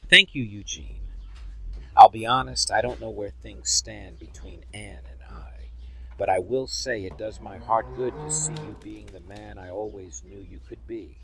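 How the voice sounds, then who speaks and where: An actor playing a gentle, sincere, heartsick churchman in an audio drama. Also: lowish voice, a little slow, creaky, exaggerated voice. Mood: warm, sincere. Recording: noisy background behind the voice.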